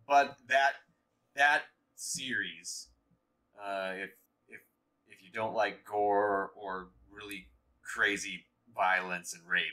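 A man co-hosting a gaming channel, chatting casually while he plays, with a slow, halting delivery full of restarts. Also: on the low side, steady tone, bright, forceful tone.

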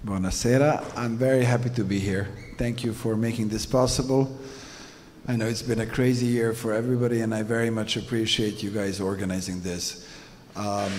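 A thoughtful man from Serbia talks through his answers at a panel, pausing and restarting often. His voice sits low. It rings out in a long hall reverb over a faint background hum. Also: darkish tone. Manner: earnest, thoughtful, many fillers. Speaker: photographer guest on a panel. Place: museum exhibition hall.

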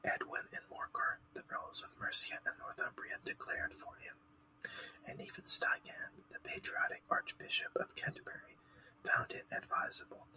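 Man playing a self-important mouse, storybook, formal, irritable, breathy whisper, bright hiss. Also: normal speed, low-quality recording. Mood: dry lecturing. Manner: pompous, formal.

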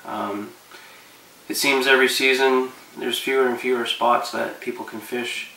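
A man in his thirties giving relaxed, rambling fishing advice to camera from his living room couch, with lots of fillers. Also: mid-range voice, a little slow, creaky, reverberant, far from the mic, slight background noise, poor audio. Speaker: fishing vlogger.

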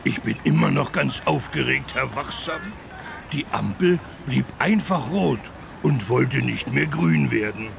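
Cartoon elephant, very creaky gravelly voice, slow, naive, wondering, good-natured, children's game. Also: male voice, mid-range voice, lively intonation, background noise. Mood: excited. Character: big good-natured talking elephant, childlike and easily excited. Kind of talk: children's learning game voice acting.

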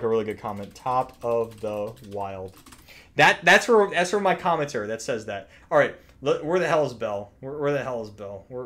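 Excitable young guy, animated, bright. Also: mid-range voice. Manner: excitable, joking, slangy, sudden exclamations. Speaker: gaming YouTuber. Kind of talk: casual gaming commentary.

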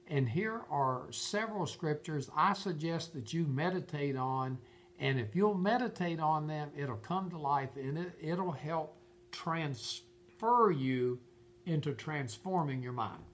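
A man's voice: fairly high pitch, animated, measured pace, a little hiss or hum. An older man giving informal Bible teaching in an earnest, emphatic way, often repeating his phrases. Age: older, about 70s. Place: furnished room at home.

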